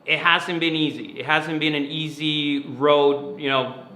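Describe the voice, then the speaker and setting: A man's voice: on the high side, fairly even intonation, measured pace, bright, forceful tone, slight background noise. A man from the Dominican Republic who grew up in New York, giving earnest, explanatory interview answers and often repeating words as he goes. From Dominican Republic, moved to New York City at eleven. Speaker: guest, finance mentoring company founder. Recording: roomy, echoey sound.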